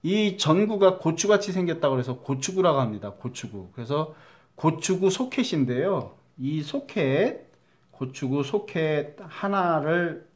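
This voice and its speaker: Middle-aged man, Chungcheong accent, fairly high voice, chatty crafts teacher walking through a build. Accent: Chungcheong Korean. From Chungcheong, Korea. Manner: chatty, repeats key words, drops to a mutter while measuring. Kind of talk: step-by-step craft demonstration.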